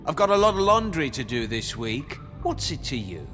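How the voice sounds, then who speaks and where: An actor playing a cocky, foul-mouthed, sneering street thug in a video game, talking in a mocking, slangy way. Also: male voice, mid-range voice, very animated, normal speed, a bit bright, soft background music. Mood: evasive, smug.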